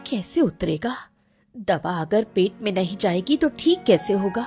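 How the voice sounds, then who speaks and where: A woman's voice: medium pitch, very animated, a little slow, warm tone, faint music behind. A woman acting a worried, nagging, protective mother in a Hindi cartoon moral story. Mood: worried, insistent.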